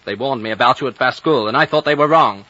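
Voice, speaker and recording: A man's voice: medium pitch, quick pace, bright, forceful tone. A man playing an impatient, sceptical young British man in a 1950s radio drama, exclamatory and insistent, on a dull old broadcast recording. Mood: indignant.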